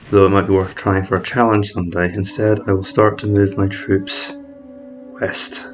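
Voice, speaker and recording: Man, fairly low voice, methodical game commentary, thinks aloud, fixes words mid-sentence, dull lo-fi voice-over, faint hiss. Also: darkish tone. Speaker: strategy game let's-player. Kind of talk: explanatory gameplay commentary.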